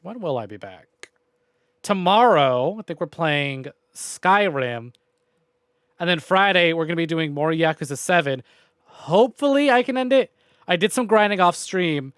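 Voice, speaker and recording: Higher-voiced man chatting casually on stream. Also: animated, a little slow, fairly bright tone, clean tone, no echo, studio quality. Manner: informal, rambling, mild swearing. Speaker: video game livestreamer.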